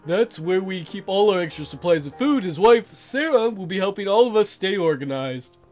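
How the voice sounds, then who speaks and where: A man's voice: sits high, animated, moderate pace, a little mellow. A man voicing an upbeat, folksy mayor making announcements to a space colony in a visual novel, with music behind. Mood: announcing.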